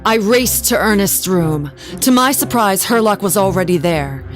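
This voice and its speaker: An actress playing a haunted, genteel wife who tells her story in a measured, dramatic way in an audio drama. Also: medium pitch, expressive, soft background music, lightly acted. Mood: haunted, grave. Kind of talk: scripted audio drama narration.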